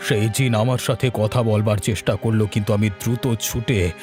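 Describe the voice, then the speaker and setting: A man's voice: lowish voice, fairly even intonation, normal speed, music in the background, natural voice. A man voicing a doting, fearful village father in a cartoon, speaking in a tender, uneasy way. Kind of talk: cartoon voice acting.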